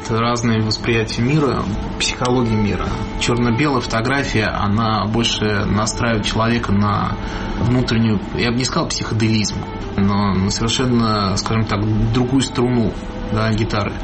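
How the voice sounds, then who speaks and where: A man's voice: on the low side, steady tone, normal speed, over music. A man, a street photographer, answering interview questions reflectively, repeating key words and reaching for images. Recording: noticeable background noise.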